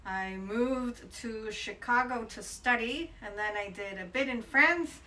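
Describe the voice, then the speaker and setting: Bright-voiced woman, slightly distant. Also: on the high side, a little slow. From Colombia. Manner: thoughtful, with many hesitations. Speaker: interviewed photographer. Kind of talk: casual interview answers. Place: bright room by a window, indoors.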